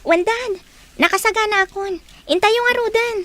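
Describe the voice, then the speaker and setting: An actress playing a cheerful, affectionate young daughter in a radio drama. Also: female voice, very high voice, normal speed, sharp tone.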